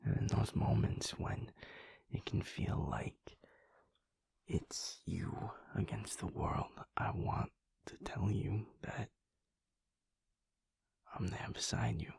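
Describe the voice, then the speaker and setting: A caring werewolf boyfriend, as a man plays him in an intimate audio roleplay. His deep, husky voice drops to a breathy near-whisper, soft and confiding. The sound is dry and close. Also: slow pace, long pauses, no echo, full character voice. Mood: tender. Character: caring werewolf boyfriend, young adult male.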